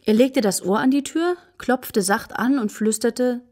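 A woman as the calm, measured, deadpan narrator of a German children's radio play. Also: fairly high pitch. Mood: calm, curious. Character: calm female storyteller of a children's tale. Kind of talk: scripted narration.

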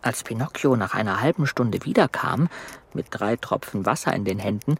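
A woman's voice: very deep voice, lively intonation, moderate pace, breathy edge. A woman narrating a children's radio play as a scripted story.

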